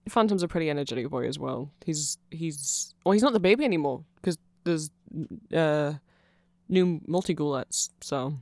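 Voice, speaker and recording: A performer voicing a nerdy, awkward, eager vampire friend in a rambling, hesitant ASMR roleplay, halting and self-conscious. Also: medium pitch, no echo, lightly acted. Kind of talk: rambling ASMR roleplay, explaining fan lore to a listener.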